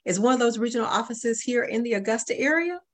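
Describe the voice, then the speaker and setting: A woman's voice: on the high side, lively intonation, quick pace. A woman hosting a radio interview, asking questions in a conversational way. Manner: conversational, asks questions with restarts.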